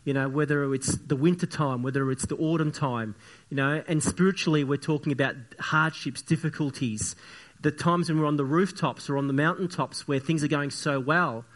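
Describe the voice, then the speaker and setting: A man preaches a sermon with a croaky, slightly sniffly voice. He talks earnestly and haltingly, circling back and restarting his phrases. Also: fairly high pitch, steady tone, a bit bright. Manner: earnest, repetitive, halting with many fillers and restarts. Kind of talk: church sermon with opening prayer.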